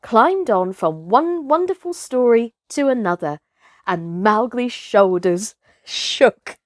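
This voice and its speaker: A woman's voice: a little high, expressive, takes it slowly, no echo, clean studio sound. A woman narrating a children's story expressively, as a storyteller. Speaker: storyteller, actress.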